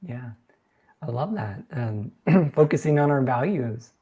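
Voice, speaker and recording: A man's voice: medium pitch, expressive, unhurried, dark tone, breathy edge. A man hosting a live question-and-answer chat who is losing his voice, talking in an earnest, coaching way. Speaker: host reading viewer questions.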